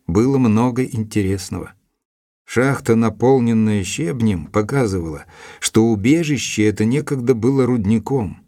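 An actor playing a sober male doctor who narrates a detective story in measured, literary phrasing for an audio drama. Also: lowish voice, a little mellow, slightly rough, no echo, studio quality. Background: music.

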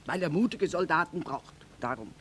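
A woman's voice: fairly low pitch, lively intonation, normal speed, a bit bright. An actress playing a shrewd middle-aged market trader and mother, sardonic and earthy, in radio drama style. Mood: sardonic lecturing. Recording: noisy old radio play recording.

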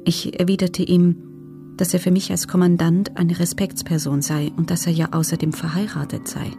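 Creaky-voiced, restrained female prisoner. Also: lowish voice, little pitch movement, moderate pace, over music. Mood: restrained, sober. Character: female prisoner recounting her ordeal, guarded, restrained. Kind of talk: documentary radio play, reading written testimony.

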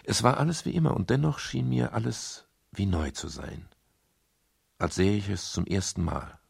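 A low, husky private detective in a seventies radio crime play. He can be curt and indignant or softly intimate, and his pitch moves freely between the two. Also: big pitch swings, normal speed, no room sound, clean studio sound, full character voice. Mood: reflective narration. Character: brusque, irritable male private detective, tender with his lover. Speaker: actor and first-person narrator. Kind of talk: 1970s German radio crime drama acting.

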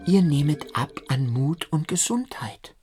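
A man's voice: a little high, expressive, a little slow, a little mellow. Male literary narrator over music. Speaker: first-person narrator in a radio play. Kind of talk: literary radio drama narration. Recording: music behind the voice.

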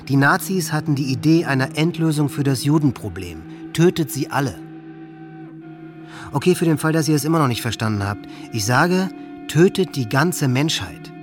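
A man's voice: medium pitch, lightly acted. A man playing a hate-filled, grandiose teenage boy in a radio drama, delivering a diary monologue with cold intensity. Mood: cold contempt.

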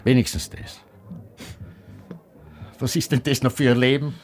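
An actor playing a gravely ill, weary man, short of breath, with an Austrian accent, in a radio drama. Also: medium pitch, flat delivery, a little slow, lightly acted. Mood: despairing. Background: faint crowd.

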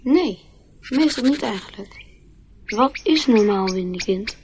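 High-voiced, wide-eyed little boy. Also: animated, a little slow, darkish tone. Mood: puzzled. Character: small curious, naive boy. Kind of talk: Dutch radio play acting. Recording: faintly noisy radio play recording.

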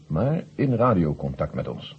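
An actor playing a calm, authoritative general in charge of a moon mission in a 1970 radio drama. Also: male voice, rumbling low, a little slow, gravelly, full character voice. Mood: grave, composed. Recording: noisy, dull old radio recording.

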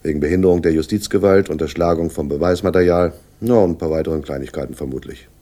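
An actor in a radio crime play playing a shrewd, sardonic police inspector, speaking with dry, deliberate condescension and a husky voice. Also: male voice, sits low, dark tone. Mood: coolly threatening. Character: sardonic, shrewd male police inspector, world-weary but superior. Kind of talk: 1960s German radio play acting, dialogue.

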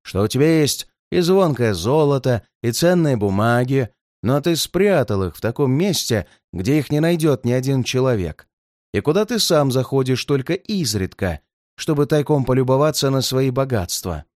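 A man's voice: mid-range voice, expressive, a little mellow, no echo, studio quality, lightly acted. A man doing a menacing red-haired giant. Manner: threatening, slow and heavy.

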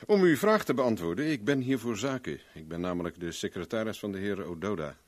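An actor playing a smooth, affable private investigator with a casual, politely probing manner in a 1970s Dutch radio play. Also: male voice, on the low side, lively intonation, a little fast, close to an everyday voice.

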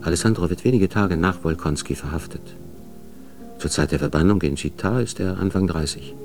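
A man narrating biographical facts in a radio drama, in a factual, measured way. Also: low voice, darkish tone, soft background music.

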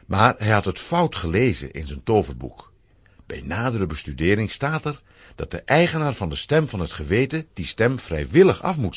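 A man narrating a scripted children's audio play as an expressive storyteller. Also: deep voice, husky, no room sound.

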